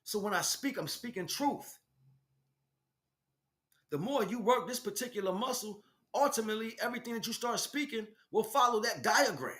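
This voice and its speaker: A man's voice: sits high, lively intonation, moderate pace, bright, forceful tone. A man giving an impromptu spiritual talk as a teacher, speaking emphatically in short, repetitive bursts. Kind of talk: impromptu spiritual monologue, preaching.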